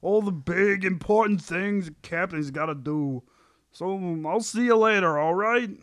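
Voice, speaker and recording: A man's voice: high-pitched, unhurried, dry recording. A man playing a whiny, put-upon sitcom husband and team captain in an amateur table read. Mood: grumbling.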